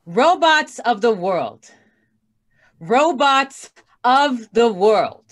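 An actress playing a cold, commanding robot revolutionary in old-time radio drama style. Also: female voice, on the high side, very animated, extremely slow, full character voice. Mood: triumphant. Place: indoors, on a video call.

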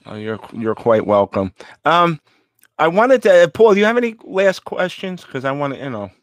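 Male host, earnest and halting, restarts a lot, dry close sound on a video call. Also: a little high, sing-song, no echo. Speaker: radio show host. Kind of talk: casual video call interview. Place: home room indoors.

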